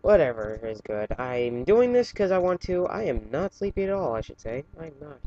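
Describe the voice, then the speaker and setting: Halting amateur male story reader, lo-fi sound. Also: a little high, big pitch swings, warm tone. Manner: halting, stumbles and self-corrects while reading. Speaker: fan fiction reader. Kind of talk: casual amateur story reading with chatty asides.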